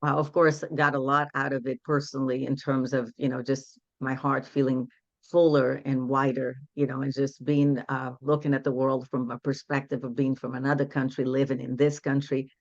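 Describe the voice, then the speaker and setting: A woman's voice: extremely low pitch, flat delivery, normal speed, no echo, highs cut off. Woman in her sixties, dry call audio. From Brazil. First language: Portuguese. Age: 60s. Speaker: guest, women's empowerment coach. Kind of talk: casual interview storytelling.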